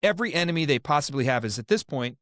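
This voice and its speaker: A man's voice: on the high side, expressive, rapid-fire, fairly bright tone, dry recording, studio quality. A man hosting a political podcast, opinionated and rambling, restarting his sentences often. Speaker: podcast host. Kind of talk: casual political discussion.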